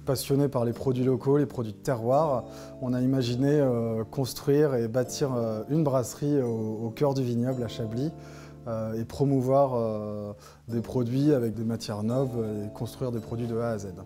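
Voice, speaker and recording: Male, 30s, craft producer in an explanatory interview. Medium pitch, dark relaxed timbre. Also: normal speed, faint music behind. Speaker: brewery co-manager. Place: indoors in a brewery tasting room.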